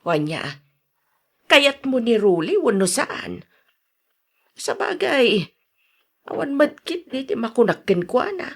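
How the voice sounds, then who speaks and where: A woman with a Filipino accent playing a concerned, gentle mother in a radio drama, consoling and expressive. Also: on the high side, slow pace, gravelly, pauses often, exaggerated voice. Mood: gentle, advising. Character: concerned, gentle mother advising her grown daughter. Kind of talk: Ilocano radio drama acting.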